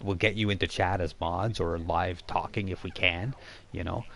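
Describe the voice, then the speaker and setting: A man hosting a tabletop role-playing stream, chatting casually and explaining things in a halting way with false starts. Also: deep voice, steady tone, gravelly, fairly bright tone. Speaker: game master and live stream host. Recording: faint background noise.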